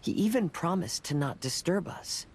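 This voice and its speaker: A man playing a calm, cautious young swordsman in a video game, speaking in a measured, reflective way. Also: on the high side, heavy background noise, lightly acted. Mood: measured, explaining. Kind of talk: video game cutscene acting.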